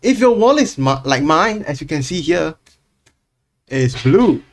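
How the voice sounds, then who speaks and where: A man's voice: fairly high pitch, big pitch swings, a little slow. A man hosting a reaction video, commenting bluntly and casually, swearing and explaining gear. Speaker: reaction channel host.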